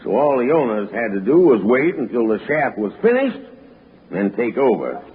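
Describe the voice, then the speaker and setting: An actor playing a cautious, gruffly good-natured mine hand with a rustic dialect in a 1960s radio drama. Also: male voice, medium pitch, very animated, moderate pace, natural voice. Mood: grumbling, explaining. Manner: rustic, drawling dialect with dropped grammar. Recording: noisy, tinny old radio broadcast.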